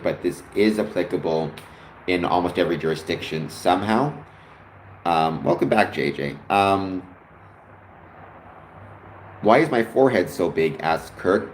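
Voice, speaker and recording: A man's voice: deep voice, a little mellow, a little hiss or hum. A man hosting a casual livestream, explaining at length and reading out viewer comments as he answers them. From Canada. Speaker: livestream host answering chat. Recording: echoey room with faint ambience.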